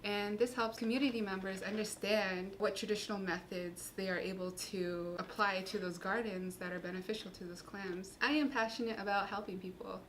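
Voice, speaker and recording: An earnest woman from Utah talks through her internship research in a measured way, pausing now and then. Her voice sits at an ordinary pitch. Faint noise runs underneath. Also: steady tone, fairly bright tone, rough recording.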